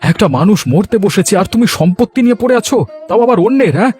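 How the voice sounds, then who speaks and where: A man's voice: high voice, lively intonation, very fast, darkish tone. Cartoon role: male actor as decent, caring village uncle, earnest delivery, light music bed. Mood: indignant. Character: kind, morally upright village uncle, exasperated with greedy wife. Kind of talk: cartoon dialogue acting.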